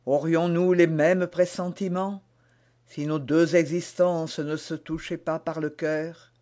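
A man's voice: on the high side, level tone, takes it slowly, a little mellow. A man reading aloud as an ardent, lovestruck nobleman who speaks fervently and fatalistically. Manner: fervent, declamatory.

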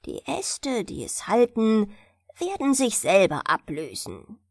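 A gentle, sorrowful little forest sprite played by a woman narrator in a fairy tale. The voice sits a bit high and moves slowly, with lively rises and falls as he earnestly pleads. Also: vocal fry, slightly rough, no room sound, clean studio sound, full character voice. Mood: earnest, eager. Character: small male mandrake sprite, gentle, plaintive, eager. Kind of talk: fairy-tale audiobook character.

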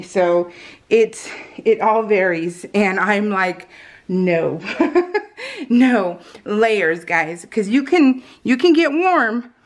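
Chatty, giggly American craft vlogger woman. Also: mid-range voice. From California, US. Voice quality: unusual, soft R sounds. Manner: giggly, rambling, self-interrupting. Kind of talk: casual chatty craft process narration. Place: indoors at home, at a craft table.

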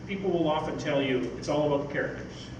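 A man's voice: a little high, quick pace. Older man, very echoey distant stage recording. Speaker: guest speaker. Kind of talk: public talk with audience questions. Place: small theatre stage, indoors. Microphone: distant camera microphone. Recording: very echoey, noisy hall from far off.

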